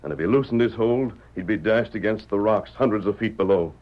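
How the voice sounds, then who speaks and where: An actor playing a righteous masked frontier hero, speaking American English in a firm, measured, authoritative way in a radio western. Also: male voice, lowish voice, close to an everyday voice. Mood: grave, storytelling. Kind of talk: old-time radio western acting. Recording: muffled vintage radio drama.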